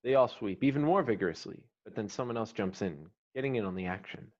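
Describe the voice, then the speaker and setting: A man's voice: fairly low pitch, animated, quick pace, darkish tone. A man reading screenplay stage directions as the narrator of a scripted table read. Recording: slightly dull online call audio.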